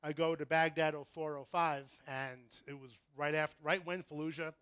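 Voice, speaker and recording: A middle-aged American man speaks to a conference as a physician lecturer. His voice sits a little high for a man, and the sound is dry and close. He talks informally and haltingly, correcting himself as he goes. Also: fairly even intonation, fairly bright tone, clean tone, no room sound. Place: conference room before an audience.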